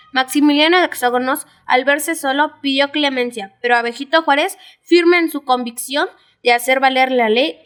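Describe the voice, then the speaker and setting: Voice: sits high, fairly bright tone, clear, resonant tone. A schoolchild with a Mexican accent giving scripted narration for a school audio drama. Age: child.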